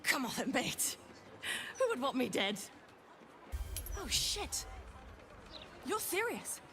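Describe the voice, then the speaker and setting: A woman's voice: sits high, big pitch swings, slow, pushed and bright, slight background noise, full character voice. A woman playing a wry, frightened commoner in a video game scene. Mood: alarmed. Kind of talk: game dialogue acting.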